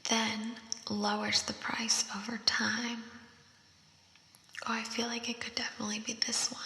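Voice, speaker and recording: A young woman whispers softly and unhurriedly, reading quiz questions and reasoning through them aloud. Her whisper is bright with a gravelly edge and comes through an effect. It rings out in a roomy, echoing space with a long tail. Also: a little high, flat delivery. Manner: soft unvoiced whisper, slow and thinking aloud. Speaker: ASMR creator. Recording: roomy echo with long reverb.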